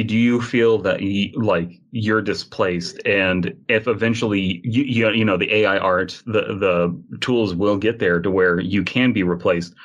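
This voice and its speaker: Low-voiced male podcast host. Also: a bit bright. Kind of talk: casual panel discussion.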